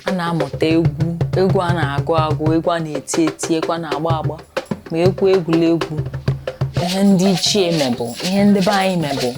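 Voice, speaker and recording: A woman's voice: low-pitched, fairly quick. A woman speaking in a rhythmic, flowing way in a testimonial. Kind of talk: spoken testimonial. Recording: music bed behind voice.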